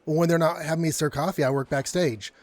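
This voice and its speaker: Man playing a mild, higher-voiced young barista. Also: steady tone, brisk, no room sound, natural voice. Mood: friendly, shy. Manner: hesitant, mild, apologetic. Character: meek, good-natured young male coffeehouse worker and stagehand. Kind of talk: audio drama acting.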